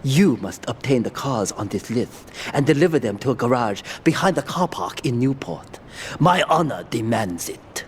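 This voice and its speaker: Stern, honor-bound gang boss by an actor, formal and lively in delivery, for a video game cutscene. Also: male voice, mid-range voice, normal speed, a little hiss or hum, lightly acted. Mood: commanding. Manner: formal, grave, commanding. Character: proud, ruthless crime boss who speaks formally.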